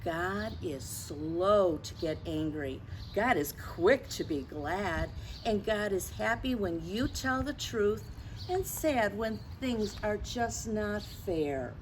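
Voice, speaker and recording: Older woman, children's storyteller, unhurried pace, gentle expressive delivery, clear ambient noise behind her. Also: medium pitch, rough recording. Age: 60s or older. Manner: gentle, expressive, addressing children with tag questions. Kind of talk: picture book read-aloud to children with gentle asides.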